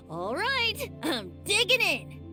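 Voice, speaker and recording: A cheerful, impish young bard for an anime game. The voice is high and boyish, with swooping melody and an unhurried pace. The delivery is flowery and theatrical. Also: female voice, pushed and bright, heavily acted. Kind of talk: anime game cutscene acting.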